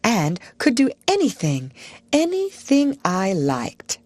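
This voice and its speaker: A woman's voice: medium pitch, huge pitch swings, takes it slowly, darkish tone, no echo, voice pushed up, full character voice. A woman voicing a proud, bold young schoolgirl who speaks grandly and politely.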